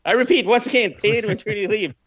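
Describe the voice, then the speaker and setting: Higher-voiced Canadian man, slightly dull audio. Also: fast talker, fairly bright tone, husky. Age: 30s. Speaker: podcast guest. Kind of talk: conversational personal storytelling.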